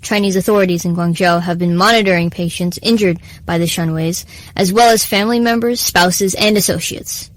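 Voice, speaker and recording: A woman playing a serious, formal presidential aide delivering a measured, deferential briefing in an audio drama. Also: fairly low pitch, close to an everyday voice. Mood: urgent, grave.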